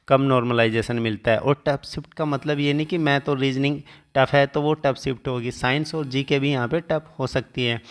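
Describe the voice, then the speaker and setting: Man giving an itemised exam update. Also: mid-range voice. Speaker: exam coaching teacher giving result updates.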